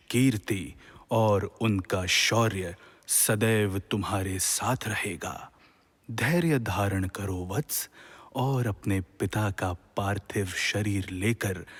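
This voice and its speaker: A man plays a wise, serene deity from a mythological radio play. The voice is low and a little husky, with very unhurried delivery. Every phrase is solemn, formal and measured, like counsel to a young warrior. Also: exaggerated voice. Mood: solemn, consoling. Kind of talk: Hindi mythological audio drama.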